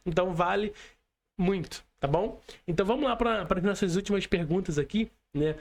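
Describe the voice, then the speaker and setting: A man's voice: sits high, creaky. A man with a Carioca Brazilian Portuguese accent hosting a podcast interview over a call, chatty and slangy with lots of fillers. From Rio de Janeiro, Brazil. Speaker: podcast host. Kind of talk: casual interview chat. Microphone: remote call.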